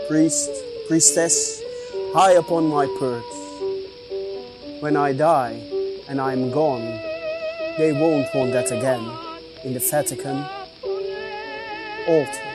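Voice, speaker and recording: A man performing poetry in a dramatic, measured, declamatory style. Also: on the high side, big pitch swings, rough recording. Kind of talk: theatrical filmed poetry recital. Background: loud music.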